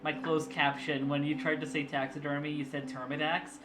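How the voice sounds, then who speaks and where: A man hosting a stream and chatting with a friend, who teases and laughs often and sometimes stops to explain a point. His fairly high voice rings in an echoey room. Guitar music plays under him. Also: level tone, fairly quick. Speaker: streamer, host. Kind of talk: casual chat.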